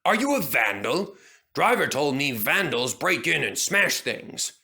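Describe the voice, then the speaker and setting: A man's voice: fairly high pitch, big pitch swings, a little slow, gravelly, bright, forceful tone, full character voice. A man voicing a proud, fussy old steam engine in a children's storybook, polite and dignified in manner. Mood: wary, polite. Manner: prim and dignified. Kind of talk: children's storybook character acting.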